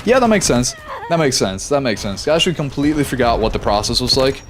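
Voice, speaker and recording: A young man live-streaming a shooter game, chatting casually with his viewers and reacting quickly during fights. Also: medium pitch, big pitch swings. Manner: easygoing, chatty, reads chat aloud, quick reactions mid-fight. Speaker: gaming streamer. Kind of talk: casual live-stream chat while playing.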